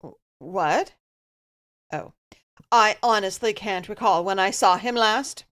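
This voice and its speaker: An imperious eldest sister in a radio drama, steely and middle-aged. Her medium-pitched voice is stiff, haughty and curt. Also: slow pace, a bit bright.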